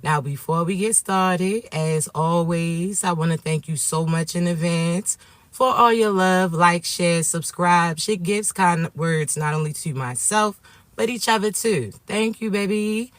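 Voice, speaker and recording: This is a man with a raspy, noticeably high voice who sounds a little stuffed up from allergies. He delivers a spiritual message straight to his viewers and keeps checking in with them. The recording is close and dry, with almost no room sound. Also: a little slow, dry recording. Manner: warm affectionate address to viewers, repetitive "okay?" check-ins. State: sniffly from allergies. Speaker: spiritual reader and coach.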